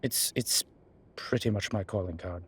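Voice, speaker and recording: A man playing a fond, teasing vampire deacon in an ASMR roleplay, with a breathy voice and theatrical, sardonic phrasing. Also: on the low side, normal speed. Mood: flirtatious, playful. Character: male vampire deacon, fond, teasing, exasperated, protective, dryly witty. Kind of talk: ASMR roleplay audio drama addressed to the listener.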